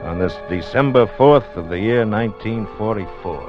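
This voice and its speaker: A man's voice: lowish voice, animated, moderate pace, over music, close to an everyday voice. A man acting as a confident, easygoing navy narrator in an old-time radio docudrama, with a folksy, assured way of explaining things. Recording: dull lo-fi vintage radio recording.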